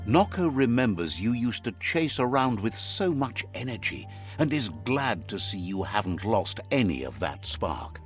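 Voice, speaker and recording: An actor voicing a wry, wise male storyteller, narrating a video game fable in a measured, theatrical way. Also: lowish voice, sing-song, soft background music. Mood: light, wry.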